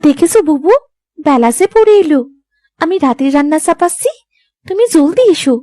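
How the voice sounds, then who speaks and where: Woman as very high, sweet young village wife. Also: expressive, normal speed, warm tone, no echo. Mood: sweet, curious. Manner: sweet, agreeable, deferential. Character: sweet young younger daughter-in-law, deferential and eager. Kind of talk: Bengali moral-story cartoon dubbing.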